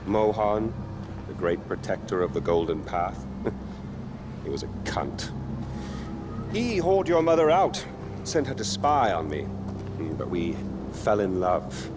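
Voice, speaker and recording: A man's voice: on the low side, big pitch swings, unhurried, long pauses, background noise. A man playing a flamboyant, menacing dictator in a video game cutscene, with a theatrical, sardonic delivery. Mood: bitter, sardonic. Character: flamboyant, menacing, whimsical male dictator villain.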